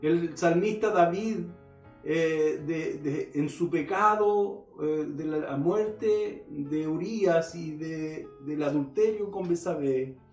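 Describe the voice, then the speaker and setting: Slow, high-voiced male pastor preaching. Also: distant mic, soft background music. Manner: earnest, addresses listeners directly, reads scripture aloud. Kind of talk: sermon. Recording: roomy echo.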